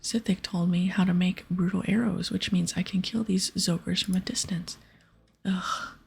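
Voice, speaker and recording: A woman voicing a practical, exasperated adventurer in a fantasy game, read aloud in a breathy, often whispered ASMR style. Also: fairly low pitch, fairly even intonation, normal speed, darkish tone, full character voice. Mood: exasperated, explaining.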